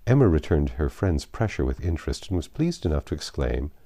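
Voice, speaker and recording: A man reading literary narration as an audiobook narrator, in an expressive and measured manner. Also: rumbling low, dry recording.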